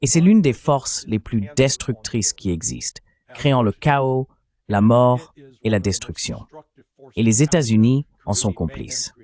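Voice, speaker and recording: A man's voice: medium pitch, animated, a little slow, a little mellow. A man reading a translated voice-over of a political discussion in a blunt, opinionated way.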